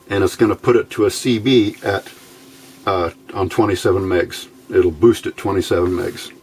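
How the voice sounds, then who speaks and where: A man presenting an informal technical tutorial in a halting, easygoing, folksy way. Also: lowish voice. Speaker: hobbyist presenter of electronics build videos.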